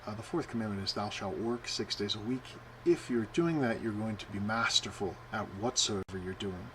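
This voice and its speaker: Guy preaching, lowish voice, lots of uhs and right?, little bit of hiss behind. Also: normal speed, a bit bright, rough recording. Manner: rambling, many fillers, asks "right?" often. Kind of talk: informal sermon.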